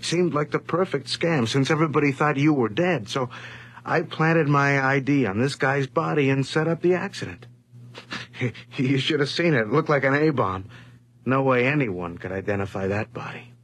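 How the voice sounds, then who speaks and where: An actor in a radio drama playing a nervous, scheming criminal who confesses haltingly. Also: male voice, medium pitch, fairly bright tone, close to an everyday voice. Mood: confessing, uneasy. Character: nervous, scheming small-time criminal, stealer of identity.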